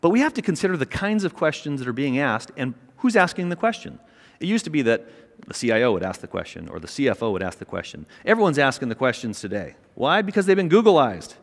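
A middle-aged man giving an energetic, emphatic keynote speech on a large conference stage, with a small mic. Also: mid-range voice, fast. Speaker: keynote speaker, company chairman. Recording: long reverb of a large hall.

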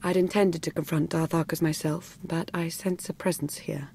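A woman playing a composed, secretive and commanding agent in a video game, formal and measured. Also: low voice, fairly even intonation, darkish tone, slight background noise. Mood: resolute. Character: composed, secretive female dark-order agent, commanding and grave.

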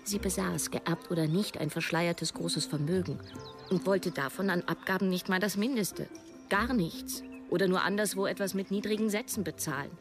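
An actress as a wry, reflective woman in her thirties telling her own story in an audio drama. Her voice is lowish and creaky, and music plays behind her. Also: moderate pace, natural voice. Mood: indignant. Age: 30s. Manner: reflective, thinking aloud. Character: woman writer in her early thirties, wry, brooding narrator. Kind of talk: first-person radio drama narration.

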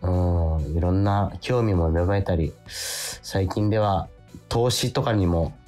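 A man's voice: low-pitched, slow pace, darkish tone. A man hosting a radio show, chatting casually and reading out listener letters in a jovial, emphatic way. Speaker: actor. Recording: music behind the talk. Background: background music.

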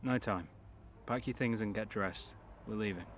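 A man's voice: on the low side, moderate pace, fairly bright tone, a little hiss or hum, lightly acted. A man playing a brusque, urgent, commanding character in an audio drama, speaking tersely. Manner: terse, no-nonsense.

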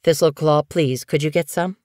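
A woman voicing a calm, kindly male cat healer in an audiobook, measured, reassuring and lightly wry. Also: low-pitched, dry recording, studio quality, natural voice.

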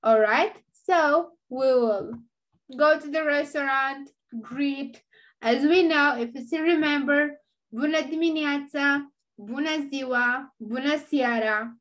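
A woman's voice: high-pitched, slow, a bit bright, low-quality recording. A young woman with a Romanian accent, a native speaker teaching an online language lesson into her laptop webcam, patiently repeating words for learners.

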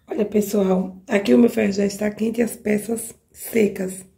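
A Brazilian woman with a cold, her voice hoarse and mellow, walks viewers through a craft project at her work table. She talks in a chatty way and keeps checking in with the people watching. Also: medium pitch, steady tone, moderate pace. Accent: Brazilian Portuguese. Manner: chatty, addresses viewers directly with frequent checks. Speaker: craft tutorial host. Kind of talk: step-by-step craft demonstration. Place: home craft table, indoors.

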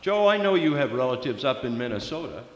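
A man's voice: mid-range voice, very animated, quick pace. An older man hosting an old-time radio style program at a podium microphone in a large, echoey church with faint noise. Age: 60s or older. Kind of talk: old-time radio host patter to a live congregation.

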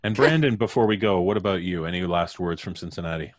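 Deep-voiced middle-aged man hosting. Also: expressive, quick pace. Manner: jokey, playful. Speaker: live stream host. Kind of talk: casual banter and sign-off. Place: indoor home studio. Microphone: studio mic on boom arm, headphones.

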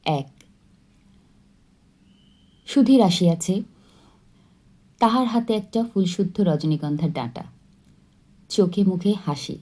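Female audiobook narrator, slow delivery, soft mellow tone, expressive scripted storytelling. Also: medium pitch.

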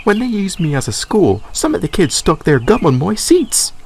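A man's voice: high-pitched, sing-song, moderate pace, gravelly, a little hiss or hum, pitched above the performer's own voice, exaggerated voice. A man voicing an old male railway coach in a storybook, cheerful, eager and friendly. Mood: chatty. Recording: background music.

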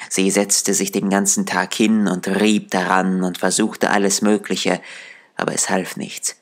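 A man narrating a dark fairy tale as a storyteller, in a measured, deliberate delivery. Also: low-pitched, little pitch movement.

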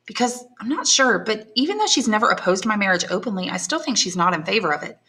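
A woman's voice: medium pitch, very animated, quick pace, bright, forceful tone, pitched above the performer's own voice. A woman reading aloud and voicing an anxious young woman in love, earnest and pleading. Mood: anxious, tender. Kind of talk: audiobook character voice.

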